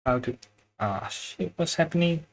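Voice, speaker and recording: A man's voice: fairly high pitch, expressive, measured pace. A man with a Chinese accent, chatting casually with viewers while he draws on a livestream, often searching for words and chuckling. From China. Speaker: drawing livestreamer.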